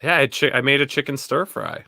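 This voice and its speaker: A man's voice: medium pitch, steady tone, quick pace, gravelly, over a noisy crowd. A man co-hosting a casual chat show with jokey, laid-back banter. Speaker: co-host.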